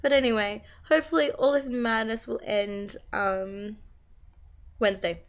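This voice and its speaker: A young Australian woman with a croaky voice from being sick, chatting to camera in a tired, rambling, venting way. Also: fairly high pitch, fairly even intonation, unhurried. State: croaky from a long cold. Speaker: vlogger. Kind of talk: casual chat to camera. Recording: slightly dull lo-fi recording.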